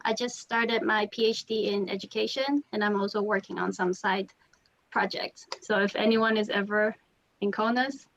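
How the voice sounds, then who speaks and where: A woman's voice: medium pitch, dull audio. A woman in her thirties from Taiwan, introducing herself in a chatty way on a video call. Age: 30s. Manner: chatty, light laughter, filler uhs. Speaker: discussion participant. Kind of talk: casual self-introduction.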